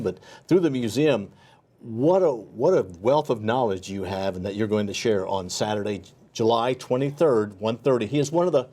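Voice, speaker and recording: A man's voice: medium pitch, dark tone. A man hosting a local television interview, speaking off the cuff as he questions and promotes his guest. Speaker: TV interview host.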